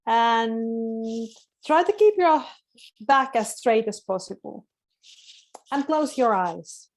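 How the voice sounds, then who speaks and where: A woman speaking as a webinar guest, softly and slowly leading a guided relaxation over a video call. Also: fairly high pitch. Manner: calm, soft-spoken, slow guiding. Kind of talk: slow guided relaxation instructions.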